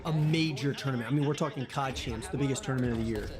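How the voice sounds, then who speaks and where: A man's voice: mid-range voice, quick pace. A man hosting a watch party stream, chatting casually and saying dude and bro. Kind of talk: casual live stream reaction.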